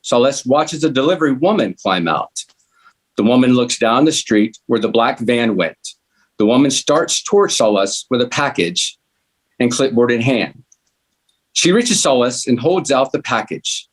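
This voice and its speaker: Man reading stage directions, medium pitch. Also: animated, unhurried. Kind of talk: scripted narration at table read.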